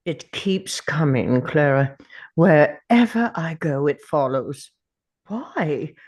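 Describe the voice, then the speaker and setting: Woman acting anxious brooding time traveler thinking out loud, slow, really animated pitch. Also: exaggerated voice. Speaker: actor at a table read. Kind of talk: dramatic script read-through.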